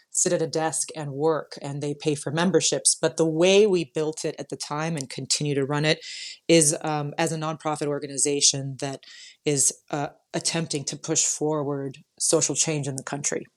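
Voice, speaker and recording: An American woman guest speaking earnestly and thoughtfully in an interview, pausing with fillers. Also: deep voice. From United States. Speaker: guest, lawyer and founder. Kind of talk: casual interview answers.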